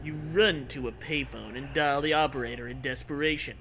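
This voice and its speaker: A man reading a comedy story aloud in an animated way, acting out the dialogue and bantering with casual swearing. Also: medium pitch, fairly quick, slightly rough.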